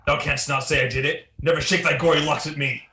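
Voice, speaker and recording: A roomy, faintly noisy recording of a guilt-stricken Shakespearean king, played by a young man. His male voice is sharp and forceful, delivering dramatic verse at a medium pitch. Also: steady tone, fast. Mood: terrified, defiant. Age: young adult. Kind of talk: Shakespearean radio play acting.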